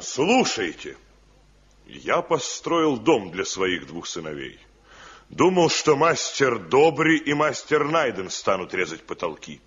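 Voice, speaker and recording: An actor playing a well-off village householder in a Soviet radio play, emphatic and theatrical. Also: male voice, mid-range voice, very animated, slow, a bit bright, breathy edge, full character voice. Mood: declaring. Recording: dull, muffled 1970 radio play recording.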